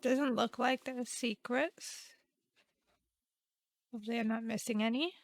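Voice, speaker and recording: A woman's voice: fairly high pitch, fairly even intonation, measured pace, no room sound, studio quality. A woman doing a game playthrough, commenting casually and reading text aloud. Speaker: gamer narrating a playthrough. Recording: loud game music behind voice.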